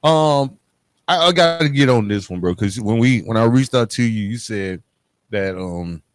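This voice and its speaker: A man's voice: medium pitch, lively intonation, normal speed. A man hosting a podcast, interviewing conversationally and restating what his guest has said before asking more. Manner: echoes and restates the guest's points. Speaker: podcast host.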